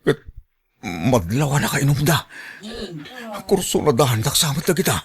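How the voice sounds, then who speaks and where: A man acting an overwrought, pleading young man in an Ilocano radio drama, with an Ilocano accent and a gravelly, muttering delivery. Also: mid-range voice, very animated, a little slow. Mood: panicked. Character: cornered cheating young man, later injured, pleading son.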